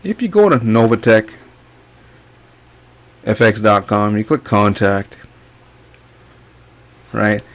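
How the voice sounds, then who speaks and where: A man's voice: lowish voice, sing-song, slow, long pauses. A man from Guyana talking through a screen share in a casual, blunt and mocking rant, cursing freely as he walks viewers through what he finds. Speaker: online income youtuber.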